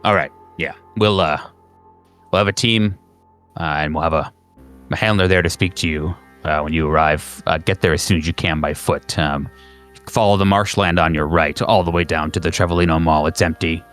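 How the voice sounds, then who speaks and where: A man playing a calm, businesslike agency dispatcher on a phone call in a horror roleplaying game, speaking in a measured, slightly hesitant official way. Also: low voice, smooth, faint music behind, pitched below the performer's own voice.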